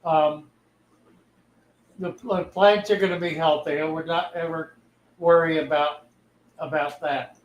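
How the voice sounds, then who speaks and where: A man's voice: on the high side, fairly even intonation, unhurried, pauses often, echoey room, low-quality recording. An older man hosting a livestream about fish keeping, talking in a folksy, easygoing way and reading viewer comments aloud. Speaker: livestream host, fish keeper. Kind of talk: casual livestream chat.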